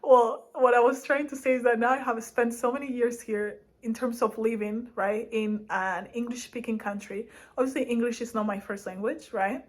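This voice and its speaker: A woman's voice: fairly high pitch, steady tone, measured pace, hardly any pauses. A young woman from Spain, chatting casually to camera as a vlogger in a playful, laughing way, drifting between languages. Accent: Madrid Spanish.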